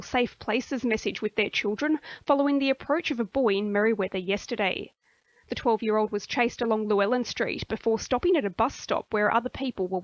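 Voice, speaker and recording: A woman's voice: fairly high pitch, dry recording, dull audio. A woman with an Australian accent reading scripted news as a radio newsreader.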